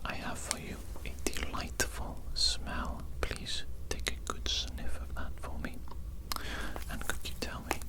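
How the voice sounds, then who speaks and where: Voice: measured pace, close to an everyday voice. A performer whispering as a gleefully evil, money-loving mad doctor in an ASMR roleplay, politely fussy and repeating phrases. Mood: polite relish. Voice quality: breathy unvoiced whisper.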